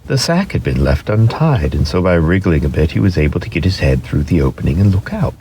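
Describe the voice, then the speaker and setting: A man narrating a children's storybook as an expressive, theatrical dramatic reading. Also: low-pitched, fairly quick, a little hiss or hum.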